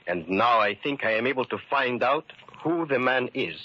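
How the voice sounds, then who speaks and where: Guy playing mild immigrant shoemaker sleuth, very lively pitch, muffled old radio recording. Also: natural voice. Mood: earnest, deliberate. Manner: deliberate, polite, formal phrasing. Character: mild, methodical immigrant shoemaker turned amateur detective, married man. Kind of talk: old-time radio drama acting.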